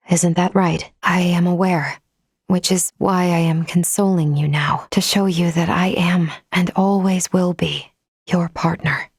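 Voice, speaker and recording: A woman playing a polite, analytical female android companion in a video game, speaking in formal, precise, measured phrases. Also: mid-range voice, dry recording, clean studio sound. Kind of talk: scripted video game character acting.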